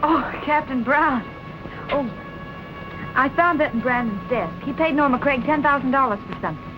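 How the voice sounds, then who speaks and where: Earnest noir heroine played by an actress, muffled soundtrack. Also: female voice, high voice, moderate pace, background noise, close to an everyday voice. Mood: earnest, explaining. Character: earnest, worried young woman amateur sleuth. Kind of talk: 1940s film noir acting. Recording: muffled old film soundtrack, music underneath.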